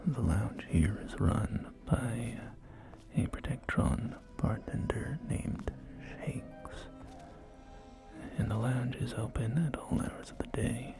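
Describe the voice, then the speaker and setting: A man's voice: medium pitch, slow, vocal fry. A man performing a whispered ASMR roleplay as a courteous real estate agent, speaking in polite, hesitant sales patter, with music behind him. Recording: whisper under loud background music. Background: music and game audio.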